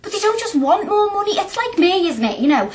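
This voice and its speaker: An actress playing a chatty, blunt young working-class student in a radio play, speaking colloquially and earnestly. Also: female voice, extremely high pitch, very animated, a little fast. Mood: earnest, impassioned.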